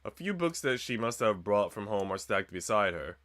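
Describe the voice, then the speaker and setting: Low-pitched man reading a story game aloud. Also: very animated, moderate pace, fairly bright tone, no echo. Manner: slangy, joking in asides. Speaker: streamer reading a visual novel aloud. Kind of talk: scripted narration read aloud with casual joking asides.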